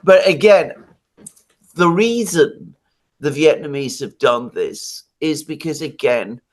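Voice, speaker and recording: A man from Greece giving his views in a casual discussion, animated and full of restarts, with laughs between points. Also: fairly high pitch, takes it slowly.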